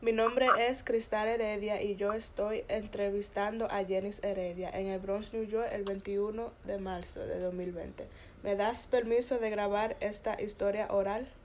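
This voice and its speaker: A woman interviewer asking short, soft-spoken questions for an oral history. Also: mid-range voice, steady tone, unhurried, slight background noise. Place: Bronx, New York.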